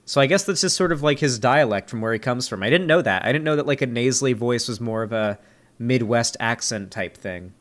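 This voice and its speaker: A man's voice: mid-range voice, lively intonation, brisk, a bit bright. A young man from Florida with a slight Southern accent, talking in a casual, rambling way full of fillers, his voice a little nasal after a sneeze. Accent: slight Southern American. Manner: rambling, filler-heavy, frank. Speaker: streamer reviewing debates. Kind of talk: casual commentary chat.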